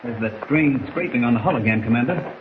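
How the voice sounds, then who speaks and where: A man's voice: mid-range voice, brisk, narrow, tinny audio, natural voice. An actor playing a tense submarine crewman with urgent, clipped calls in a 1940s radio drama. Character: tense submarine crewman calling out depths and orders. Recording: slightly hissy old 1940s radio recording.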